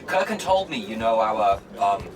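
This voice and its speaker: A man's voice: on the low side, very animated, normal speed, over music. An actor playing an eccentric, gleeful male magic scholar in a video game, chatty, playful and emphatic. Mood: amused, eager. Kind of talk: theatrical video game acting.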